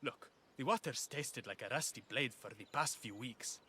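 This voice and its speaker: An actor playing a downtrodden, wry farm labourer in a video game cutscene. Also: male voice, mid-range voice, moderate pace, bright, forceful tone, a little hiss or hum, close to an everyday voice. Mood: bitter, blunt.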